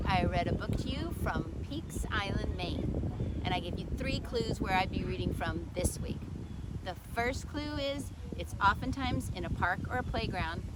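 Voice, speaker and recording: A woman reading to children in a storytelling style, with clearly phrased, expressive delivery. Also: mid-range voice, heavy background noise. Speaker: children's book reader. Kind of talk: read-aloud storytelling for children. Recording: roomy, echoing sound.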